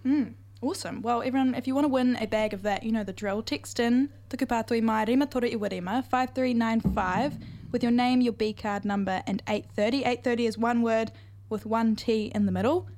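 A New Zealand woman hosts a breakfast radio segment in a relaxed, chatty way. Her voice sits a little high, and she talks upbeat with quick asides. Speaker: radio breakfast show host. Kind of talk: casual on-air chat.

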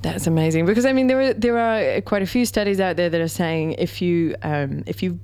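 A woman hosting a radio interview, lively and bantering with her guest. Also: lowish voice, a little fast, clean tone. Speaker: host.

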